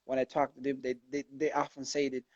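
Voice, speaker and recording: A young man, speaking English as an in an earnest, halting radio chat with many restarts. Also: mid-range voice, completely flat delivery, darkish tone. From Afghanistan. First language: not English. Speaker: guest. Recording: dull lo-fi sound.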